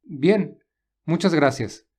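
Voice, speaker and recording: A man's voice: a little high, slow, a little mellow, slightly rough. A man playing a courteous, resourceful young man in an audio story, speaking earnestly and reassuringly. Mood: courteous, persuasive. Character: polite, resourceful young man stranded with his companion. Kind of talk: audio story character dialogue.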